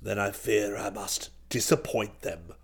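A storybook old English duke, as a male narrator puts him on, pitched very low. He speaks with clipped, pompous formality and stays icily polite while bristling with offended dignity. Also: a little slow, husky. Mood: icily calm. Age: older.

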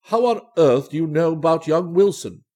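An old vaudeville man, acted out by a male storyteller, speaks low and huskily. He is blunt but sentimental, stretching his words with feeling, and swings widely in pitch. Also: animated, normal speed, dark tone. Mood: indignant, hurt. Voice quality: husky.

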